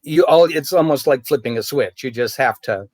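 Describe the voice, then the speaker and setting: A man's voice: medium pitch, normal speed, darkish tone, no echo. Guy chatting on a video call, easygoing storyteller, dry close sound. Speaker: guest actor. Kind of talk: casual video call chat.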